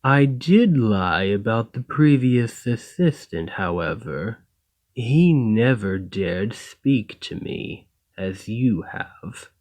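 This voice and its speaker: A man playing an escaped golem in an ASMR roleplay, a coldly logical, polite and self-serving captor with formal, measured, detached phrasing. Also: on the low side, animated, a little mellow. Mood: detached, curious.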